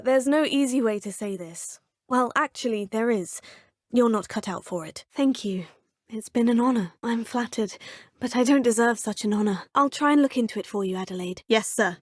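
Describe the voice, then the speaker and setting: A woman's voice: fairly high pitch, dry recording, studio quality. Polite teen witch. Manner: polite, proper phrasing. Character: teenage witch student, polite and plucky. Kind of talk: game voice clips. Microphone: close studio mic.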